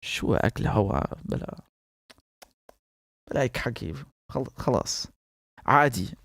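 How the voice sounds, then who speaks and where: Slow-talking Syrian man, laughing host. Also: mid-range voice, lively intonation, gravelly, a bit bright, no echo. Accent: Damascene Arabic. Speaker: host reacting to clips. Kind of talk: casual reaction commentary.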